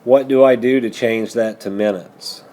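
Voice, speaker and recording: A man's voice: medium pitch, steady tone, normal speed, dark tone. A man working methodically through a math lesson as a teacher, patiently prompting students with questions. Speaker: high school algebra teacher.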